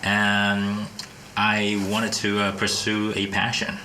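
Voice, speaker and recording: A man's voice: lowish voice, completely flat delivery, takes it slowly, bright, forceful tone, low-quality recording. A middle-aged man from California, a business owner answering interview questions, hesitant, with many fillers and restarts. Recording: studio with clear background noise.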